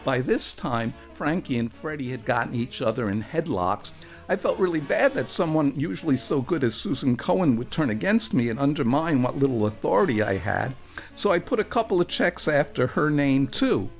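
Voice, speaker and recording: A man performing a first-person story for radio, with a wry, animated and earnest delivery. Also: medium pitch, normal speed, soft background music.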